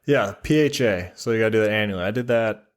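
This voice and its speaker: A man with a deep, low-pitched voice speaks as an interview guest. He explains his job informally, in short conversational phrases that he softens as he goes. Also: fast talker, clear, resonant tone. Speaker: interview guest, military air traffic controller. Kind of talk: casual explanatory interview chat.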